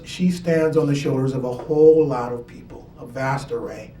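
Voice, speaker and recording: A man's voice: mid-range voice, dark tone. A father giving an earnest award speech with dry humorous asides. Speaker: parent presenting an award. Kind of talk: ceremony speech. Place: school event hall. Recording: echoey hall from far off, faint noise.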